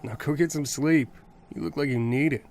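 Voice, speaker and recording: An actor playing a friendly young male survivor in an audio drama, casual and bantering with gruff teasing. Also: mid-range voice, moderate pace, a little mellow, lightly acted.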